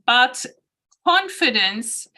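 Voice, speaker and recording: A woman from Australia giving an online guest lecture to students, speaking in a slow, measured way and clearing her throat often. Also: on the high side, big pitch swings, bright, forceful tone.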